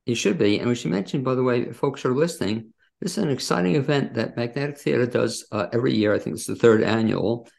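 Very gravelly-voiced male podcast host. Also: mid-range voice, fast talker, hardly any pauses, a little mellow. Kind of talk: interview hosting on a positive news podcast. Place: remote video call from his own studio.

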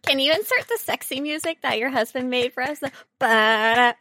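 Female co-host, slightly high voice, amused casual explainer, recorded dry and close. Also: moderate pace, bright, forceful tone, no room sound. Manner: lighthearted, amused. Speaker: podcast co-host. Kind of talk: casual explanatory podcast chat.

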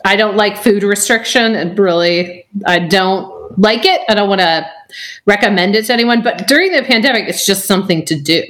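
A woman's voice: mid-range voice, moderate pace, fairly bright tone. A woman who writes for TV, chatting as a podcast guest. She talks in a dry, self-mocking and chatty way. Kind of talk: casual chat.

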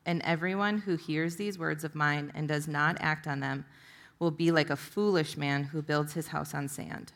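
Female reader with a deep register, reciting Bible verses. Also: fairly even intonation, normal speed, creaky. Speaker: volunteer scripture reader. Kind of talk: reading scripture aloud.

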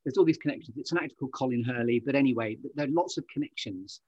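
Effusive male podcast host, slightly husky. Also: mid-range voice, very fast, darkish tone, dry recording, lo-fi sound. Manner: effusive, wordy, meandering asides. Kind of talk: chatty, effusive podcast interview.

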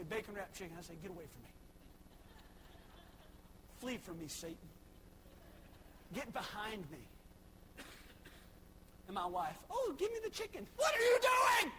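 A young man preaching a sermon, swinging from shouted exhortation to soft, folksy asides. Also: high-pitched, a little hiss or hum, poor audio. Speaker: pastor.

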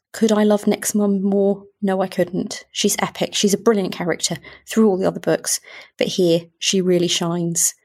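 A woman's voice: lowish voice, a little mellow, slightly rough. A middle-aged woman giving a prepared book review as a podcast guest, enthusiastic and emphatic in her delivery. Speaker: healthcare professional, podcast guest.

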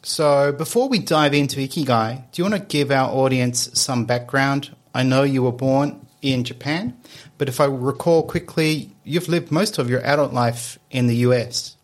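A man's voice: mid-range voice, normal speed. A man in his early fifties hosting a podcast interview in a conversational style. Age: early 50s. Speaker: podcast host.

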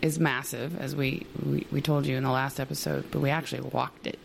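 A woman co-hosting a podcast in casual chat, hoarse and chatty, laughing often. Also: rumbling low, flat delivery, a little fast, a little hiss or hum. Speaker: podcast co-host.

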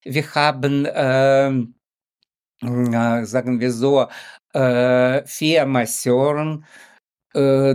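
A middle-aged or older man, a non-native speaker with a Ukrainian accent, answering interview questions haltingly as he searches for words. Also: mid-range voice, a little mellow, clean tone. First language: not native in German. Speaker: sports doctor, interview guest. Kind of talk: conversational interview.

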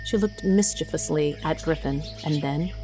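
A woman's voice: low voice, moderate pace, over music, rough recording. A woman narrating a scripted audio drama as its storyteller.

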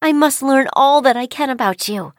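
A woman voicing a curious, excitable young girl who exclaims and asks eager questions in a children's story. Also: high-pitched, moderate pace, dry recording, clean studio sound. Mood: amazed, curious.

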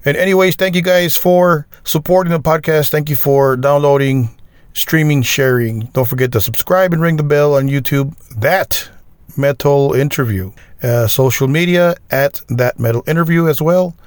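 A man's voice: a little high, moderate pace, smooth, dry recording. A man hosting a metal podcast interview, agreeable and chatty, with many short yeahs and wows. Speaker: podcast host. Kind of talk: casual interview and outro.

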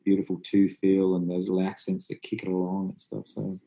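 Guy musician with a really deep mellow voice, rambling on excitedly, lots of you know. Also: fairly quick, creaky. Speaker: musician in a band, guest. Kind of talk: conversational interview.

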